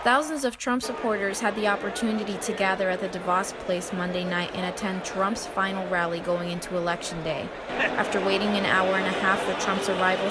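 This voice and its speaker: A woman's voice: mid-range voice, very noisy. A young American woman reading scripted news reports as a TV reporter.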